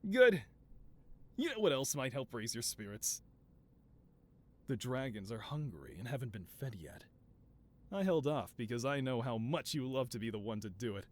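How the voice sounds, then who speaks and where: A man's voice: mid-range voice, natural voice. A male voice actor playing an affable supervillain scientist boss in a scripted audio roleplay, talking in a doting, theatrical way. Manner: doting, theatrical, softly reassuring then grandiose. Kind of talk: scripted audio roleplay, one-sided conversation.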